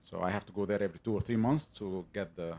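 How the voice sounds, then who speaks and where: A man from Egypt in the audience asking a long question, halting often with uh fillers. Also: sits low, a little mellow. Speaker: audience member.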